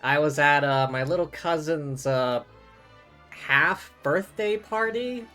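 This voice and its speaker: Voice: medium pitch, slow pace, sharp tone. A streamer chatting casually and a little hesitantly while playing a game, in an easygoing way. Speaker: streamer, host. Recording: music playing behind the voice. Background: game music.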